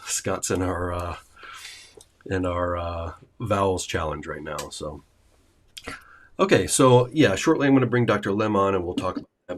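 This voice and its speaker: Deep-voiced man hosting a casual livestream. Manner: rambling, many fillers and restarts. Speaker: host of a language-learning livestream.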